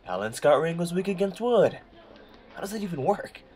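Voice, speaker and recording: A man playing an affable, self-deprecating high school boy in an audio drama, easygoing and chatty. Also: very animated, moderate pace, a little mellow, husky, a faint crowd, heavily acted. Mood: pleasantly surprised.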